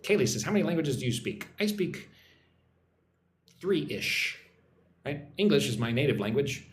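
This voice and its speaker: A man's voice: mid-range voice, very animated, normal speed, echoey room. An American man hosting a casual English lesson livestream, chatting with viewers and answering their comments by name. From United States. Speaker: English teacher. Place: home in Vietnam.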